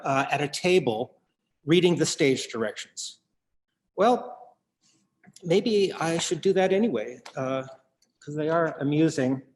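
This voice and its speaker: A man, a playwright, addresses the audience in a theatrical monologue, wry and halting, given to wordplay. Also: on the high side.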